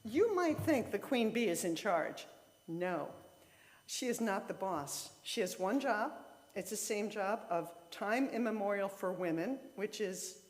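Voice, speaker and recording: A woman giving an informal lay sermon in a conversational, explanatory way, with short emphatic statements. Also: medium pitch. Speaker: lay speaker at a church service. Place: church sanctuary, speaking to a congregation. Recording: reverberant hall with long echo.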